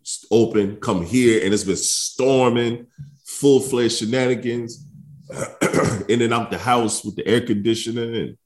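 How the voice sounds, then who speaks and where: A young man co-hosting a casual podcast, sounding stuffy and congested, laughing through crude banter and clearing his throat often. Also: mid-range voice, a little slow. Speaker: podcast co-host. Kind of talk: casual chat.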